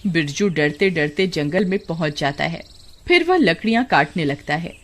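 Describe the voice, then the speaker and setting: A woman's voice: low-pitched, expressive, moderate pace. A woman narrating a scripted horror cartoon story. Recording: music behind the voice.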